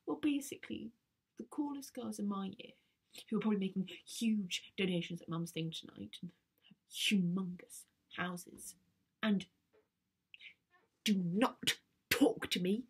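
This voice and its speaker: Young woman acting a melodramatic, husky teenage girl. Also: medium pitch, unhurried, long pauses. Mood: excited, exasperated. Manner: melodramatic, pleading, exasperated. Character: dramatic, pleading, whiny teenage girl nearly sixteen. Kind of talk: comedic stage monologue.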